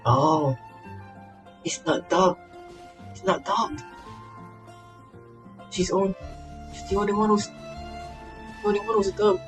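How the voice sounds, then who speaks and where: A woman's voice: medium pitch, takes it slowly, long pauses. A woman game streamer giving casual let's play commentary, playfully repeating phrases over game music. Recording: loud game music behind.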